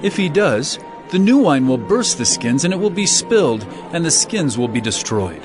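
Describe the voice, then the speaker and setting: Casting: male actor as an earnest, authoritative holy teacher, raised pitch, very expressive, emphatic, music beneath. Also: normal speed. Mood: earnest teaching. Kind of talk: dramatized scripture audio acting.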